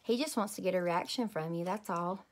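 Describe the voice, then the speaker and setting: A woman voicing a patient mother in a storybook, gently questioning and coaxing a young child. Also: on the low side, fairly quick, close to an everyday voice.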